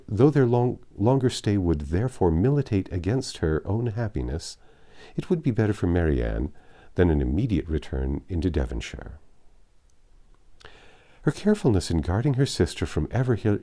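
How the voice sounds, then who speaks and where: A man reading a classic novel aloud as an audiobook narrator, in an expressive literary manner. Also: deep voice.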